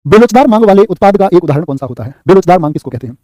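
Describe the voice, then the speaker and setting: Rapid-fire exam coach, man. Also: high voice, lively intonation, mellow, no echo. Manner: rapid, repeats answers and asks "what will you say?". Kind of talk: live online class, quizzing students.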